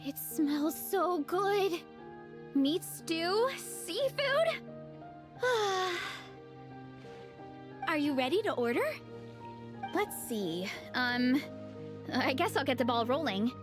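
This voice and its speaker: Bubbly high-voiced young athlete girl. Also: expressive, very slow, long pauses, over music, heavily acted. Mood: eager, hungry. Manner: eager, emphatic, upbeat. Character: bubbly, encouraging young female athlete with a huge appetite. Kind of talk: English video game voice acting.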